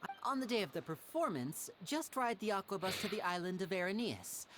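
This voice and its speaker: A woman's voice: medium pitch, big pitch swings, a little fast, noisy background, lightly acted. A woman voicing a polite, charming young male stage magician in a video game. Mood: brisk, friendly.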